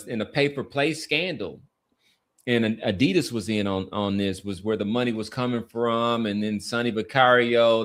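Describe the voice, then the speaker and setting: A man's voice: medium pitch, a bit bright. A middle-aged American man giving opinionated, casual sports commentary, emphatic and repeating phrases to drive points home. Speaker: sports podcast host.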